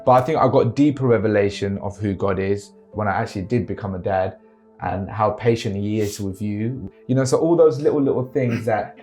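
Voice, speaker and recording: A man in his thirties from Sri Lanka, earnestly telling a personal story about fatherhood with frequent pauses and fillers. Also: fairly low pitch, a little mellow, soft background music. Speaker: father in a discussion.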